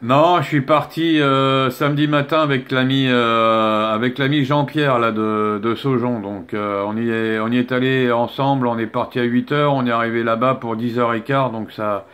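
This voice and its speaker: Guy chatting on ham radio, hesitant, normal pitch, kinda searching for words. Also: steady tone, normal speed, few pauses, a bit bright. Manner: hesitant, often ends phrases with "hein". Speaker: amateur radio operator. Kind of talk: casual radio chat.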